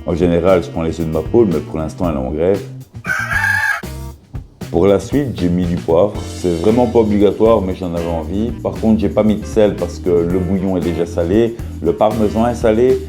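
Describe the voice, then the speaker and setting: A man with a cold and a sore, hoarse throat, casually talking the viewer through simple home recipes with jokes along the way. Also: low-pitched, normal speed. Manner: joking, chatty, talks straight to the viewer. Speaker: cooking vlogger. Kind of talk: casual cooking video narration. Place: his bedroom. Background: guitar music.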